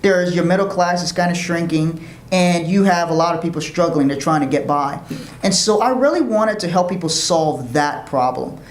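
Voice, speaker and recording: A man tells his life story as an interview guest. He speaks earnestly and restarts phrases as he thinks. His voice is slightly high, and the room has a noticeable echo. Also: moderate pace. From Liberia. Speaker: guest, financial professional.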